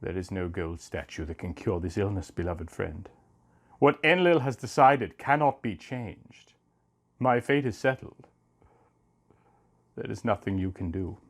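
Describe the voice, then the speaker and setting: A man's voice: low voice, lively intonation, measured pace, pauses often, voice pushed down, full character voice. A man playing a dying wild warrior, bitter and anguished, in an impassioned, declamatory storybook reading. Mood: resigned despair.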